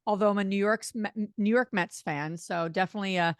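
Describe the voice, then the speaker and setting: A middle-aged woman with a slight New Jersey accent chats quickly, full of fillers. Her pitch sits in the middle, and the sound is dry and close to the mic. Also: dry recording. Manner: fast-talking, chatty, many fillers. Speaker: guest, real estate investor. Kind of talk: casual interview talk.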